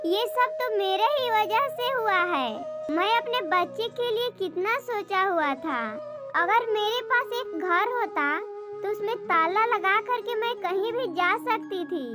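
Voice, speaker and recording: Woman doing a very high-pitched little female cartoon bird. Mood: distressed, resolute. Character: small female cartoon birds, wives and mothers. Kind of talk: children's animated moral story dialogue.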